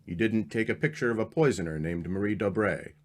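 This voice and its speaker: A man's voice: lowish voice, a little fast, lightly acted. A man acting an earnest, uneasy husband in an old-time radio mystery, questioning and hesitant. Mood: probing, uneasy.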